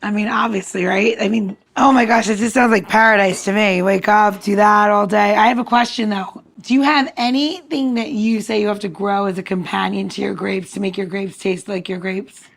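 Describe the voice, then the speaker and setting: Gravelly-edged woman chatting informally. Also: mid-range voice, steady tone, normal speed, hardly any pauses. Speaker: podcast co-host. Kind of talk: casual chat.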